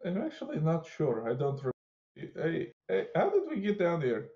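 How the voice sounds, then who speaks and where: A man's voice: a little high, wildly swooping pitch, dark tone. A man playing a tabletop game, blunt and swearing often. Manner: slow, blunt, swears a lot. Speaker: player. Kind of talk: casual game chat. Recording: dull lo-fi mic.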